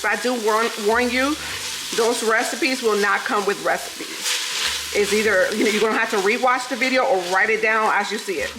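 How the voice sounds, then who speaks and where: A woman's voice: on the high side, normal speed, reverberant, background noise. A woman in her thirties from New York City, a native Spanish speaker with a New York accent touched by the South, chatting casually with viewers while she cooks in her home kitchen. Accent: New York with a Southern lilt. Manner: chatty, laughs often, talks to viewers as "y'all". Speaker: vlogger. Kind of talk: casual chat while cooking. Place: home kitchen with food frying.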